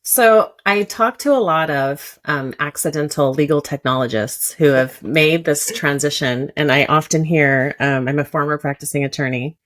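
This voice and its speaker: A woman's voice: low voice, moderate pace, hardly any pauses, a bit bright. A woman hosting a podcast interview, chatting casually in a friendly, encouraging way. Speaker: podcast host.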